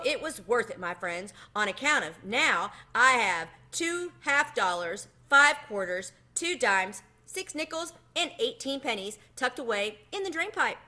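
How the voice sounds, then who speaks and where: A woman's voice: on the high side, bright, forceful tone. A woman voicing a melodramatic, self-pitying, miserly little male mouse for a children's storybook, pleading and theatrical with quaint phrasing. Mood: emotional, proud. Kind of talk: children's storybook read-aloud.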